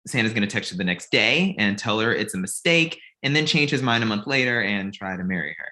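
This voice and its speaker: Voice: medium pitch, lively intonation, fairly quick, bright, forceful tone. An actor playing a glib, self-absorbed amateur male film director in a comedy table read, breezy and confident. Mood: defensive, breezy.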